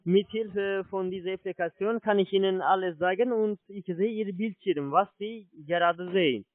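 A high-voiced man, not a German speaker, works as a call-centre agent. He gives polite, halting step-by-step instructions over a dry, muffled telephone line. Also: no room sound. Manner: polite, halting, with repeated confirmations. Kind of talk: salesman giving step-by-step instructions on a phone call.

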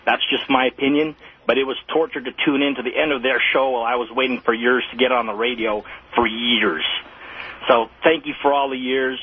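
A man's voice: medium pitch, steady tone, brisk, husky. Earnest male caller on a tinny phone line. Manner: earnest, gushing, stumbling over words.

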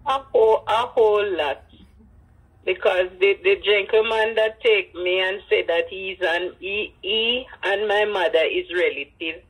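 Elderly woman, Jamaican accent, slow pace, husky, Creole phrasing, interview storytelling. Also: mid-range voice, poor audio. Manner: emphatic repetition, Creole phrasing. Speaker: interviewee, grandmother.

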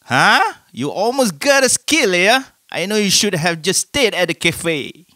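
High-voiced protective young brother. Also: male voice, lively intonation, unhurried, sharp tone, no room sound, studio quality, exaggerated voice. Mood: worried, scolding. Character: protective older brother, worried and scolding. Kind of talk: animated motion comic voice acting.

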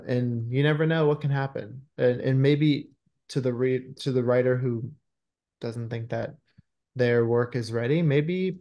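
A man in his thirties gives casual interview answers over a video call from a room at home. He often pauses to search for his words as he explains things, with a medium-pitched voice. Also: dark tone. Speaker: screenwriter and interview guest. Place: small room at home, indoors.

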